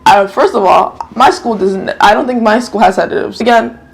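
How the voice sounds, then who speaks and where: A woman's voice: medium pitch, normal speed, creaky, low-quality recording. A woman from New York whose first language is Japanese, chatting casually through a drama review, rambling, correcting herself and swearing now and then.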